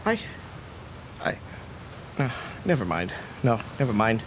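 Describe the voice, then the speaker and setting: An uneasy lighthouse keeper's assistant in a radio drama. He is easily spooked and speaks haltingly, with widely moving pitch. A faint noise from an old cassette sits under the voice. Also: big pitch swings, low-quality recording. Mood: unsettled, insistent. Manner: hesitant, halting.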